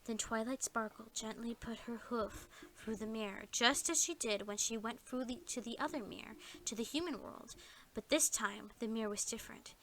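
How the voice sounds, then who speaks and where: High, bright-voiced woman telling a story. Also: normal speed, a little hiss or hum, low-quality recording. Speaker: storyteller, narrator. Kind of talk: fan fiction story narration.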